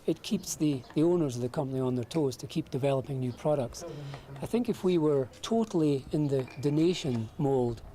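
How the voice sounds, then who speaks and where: A man's voice: on the high side, mellow. A man talking unscripted in a documentary interview, recorded on location. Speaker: electrical engineer, charity founder. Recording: clear vehicle and location noise.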